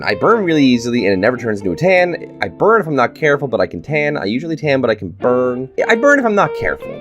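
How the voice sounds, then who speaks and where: This is a YouTuber speaking through a congested, stuffed-up nose. The host reads quiz questions and answers them in a chatty, opinionated way, with a lively, swooping pitch. A faint plucked guitar tune plays softly underneath. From Canada. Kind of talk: casual chat, reading quiz questions aloud and commenting.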